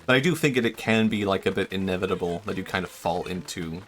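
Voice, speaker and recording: A man's voice: sits low, quick pace, soft background music. A young man streaming a game, chatting casually and thinking aloud, often trailing off and restarting his sentences. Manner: rambling and self-reflective, trails off, many fillers and restarts. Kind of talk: casual chat while gaming.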